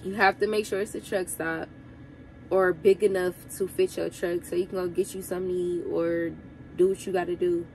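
A young woman truck driver giving casual advice to her viewers, sounding congested from a cold, chatty and relaxed. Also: on the low side, brisk, a little hiss or hum, poor audio.

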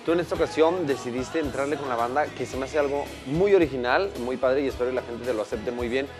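A man's voice: medium pitch, quick pace. A man in his thirties with a Mexican accent, hosting a casual television interview in a studio. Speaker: TV morning show host. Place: indoor TV studio set. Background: music.

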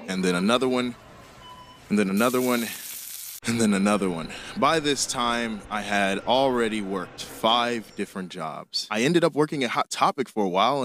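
A man's voice: mid-range voice, a bit bright. A man in his late teens with an American accent, narrating a scripted story in a jokey, self-deprecating way. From United States. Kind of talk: scripted comedic first-person narration.

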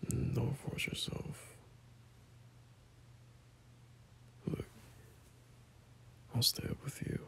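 A man playing a gentle, caring boyfriend at bedtime in an intimate ASMR roleplay, speaking softly in a breathy half-whisper with hesitant pauses. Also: close to an everyday voice. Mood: tender, tired. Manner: soft, slow, hesitant, reassuring.

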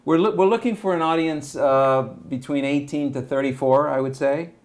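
A man in his forties from Argentina whose first language is Spanish, a director being interviewed, talking in a relaxed, measured way with frequent hesitations. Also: on the high side. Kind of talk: casual interview talk. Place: small room indoors beside a window.

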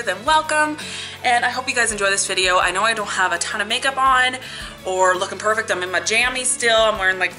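Bright, chatty young woman with a cold. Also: mid-range voice. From Canada. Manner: chatty and upbeat, many likes and ums, laughs often. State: has a cold, sniffly. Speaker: beauty and family vlogger. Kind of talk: casual chat to camera. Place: living room in front of a lit Christmas tree, indoors. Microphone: camera close in front.

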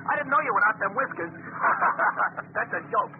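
An actor playing an eager, excitable city cab driver who chatters in slang and repeats himself, in 1930s radio drama. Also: male voice, sits high, measured pace. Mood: excited, joking. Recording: tinny, noisy 1930s radio recording.

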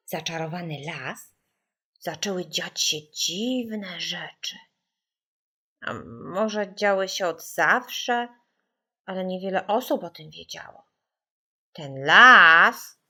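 A woman voices a young girl storyteller in a children's audiobook, solemn and mysterious. The telling is slow and emphatic, in a bright, animated voice. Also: fairly low pitch, exaggerated voice.

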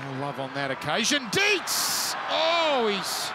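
A man calling a rugby league match live, excitable and rising to shouts on big plays. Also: high-pitched, slow pace. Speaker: play-by-play commentator. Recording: loud stadium crowd and noise. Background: roaring stadium crowd.

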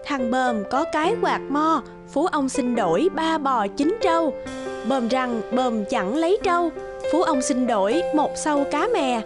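A woman narrates a folktale from a script, reciting verse in a high voice. Music is clearly present behind her. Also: animated, unhurried.

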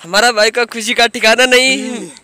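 A man's voice: very high voice, steady tone, normal speed, pushed and bright, no room sound, clean studio sound. A young man teasing his friend with playful swearing outdoors on a road trip. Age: young adult. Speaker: vlogger's friend. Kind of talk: casual banter. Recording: outdoor road and traffic noise.

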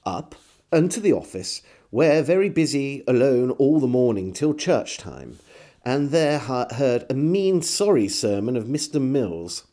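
Slow, expressive male storyteller. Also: mid-range voice. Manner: measured, theatrical, with deliberate pauses. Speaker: storyteller reading aloud. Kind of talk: expressive literary diary reading. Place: small home room with bookshelves.

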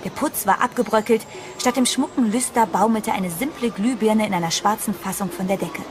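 An actress playing a sensible, uneasy young heroine in a horror audio drama, earnest and measured. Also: female voice, mid-range voice, background noise, lightly acted. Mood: reflective, uneasy.

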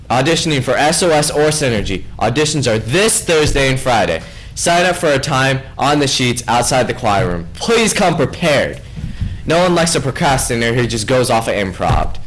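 A man's voice: medium pitch, a little hiss or hum, rough recording. A young man anchoring a school announcements broadcast from a small studio desk, playful and jokey. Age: young adult. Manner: playful, jokey, animated with big hand gestures. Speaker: student news anchor.

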